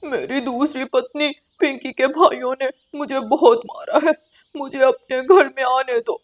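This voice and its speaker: A woman's voice: sits high, steady tone, unhurried. A woman voicing a tearful male cartoon crow husband, sobbing and pleading.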